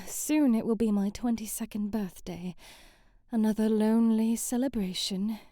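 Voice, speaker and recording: A woman's voice: a little high, steady tone, measured pace, dark tone, dry recording, studio quality, natural voice. A woman playing a sheltered, romantic young princess in an ASMR audio drama, with flowery, theatrical storybook phrasing. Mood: wistful, lonely. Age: young adult. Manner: theatrical, flowery, prim storybook phrasing, sighing, often half-whispering.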